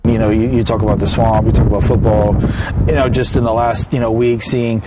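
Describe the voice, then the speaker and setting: A man's voice: lowish voice, level tone, brisk, very noisy. A man speaking as a head coach in an interview, conversational and peppered with "you know". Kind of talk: sit-down interview.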